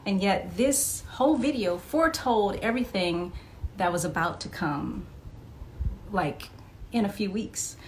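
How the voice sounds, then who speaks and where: A woman with a mid-pitched voice talks as an interview guest in a casual, chatty and expressive style. There is some light noise behind her at a live podcast taping. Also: measured pace, low-quality recording. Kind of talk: casual anecdotal podcast chat.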